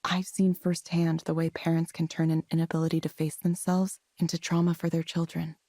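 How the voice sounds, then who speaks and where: A caring female counselor in a video game. Her voice is low and very mellow, measured and earnest, with a dry, close sound. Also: level tone, no echo. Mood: earnest, pleading.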